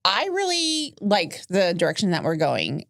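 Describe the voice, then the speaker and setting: A woman's voice: lowish voice, huge pitch swings, a little slow, gravelly, a bit bright, dry recording, studio quality. A woman in her forties chatting casually and candidly as a podcast guest, informal and a little self-deprecating. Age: 40s. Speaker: podcast guest, company accountant. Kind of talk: casual chat.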